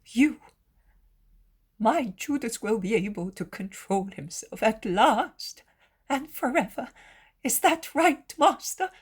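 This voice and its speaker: A woman's voice: medium pitch, steady tone, slow pace, long pauses, mellow, breathy edge, pitched above the performer's own voice. A woman voicing a devout, anxious mother in a storybook, speaking in an imploring and effusive way. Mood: pleading hope.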